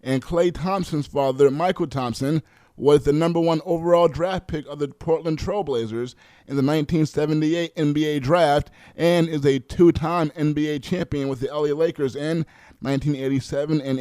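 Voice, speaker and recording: Mellow, higher-voiced male radio sports reporter. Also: moderate pace, smooth, no echo.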